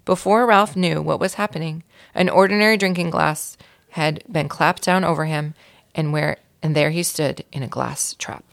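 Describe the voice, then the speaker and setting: A woman reading a children's story aloud in an expressive storytelling style, with a creaky voice. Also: deep voice, normal speed. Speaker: volunteer reader of a children's book.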